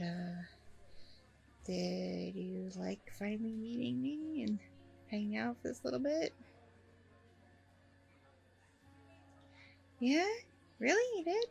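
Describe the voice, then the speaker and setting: A woman's voice: mid-range voice, gravelly, a little mellow. A woman playing a friendly, flustered, flirty single mom on a first date in an ASMR roleplay, chatty, hesitant and gushing. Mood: flustered, apologetic, then flattered, delighted. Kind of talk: ASMR roleplay acting, one side of a dialogue.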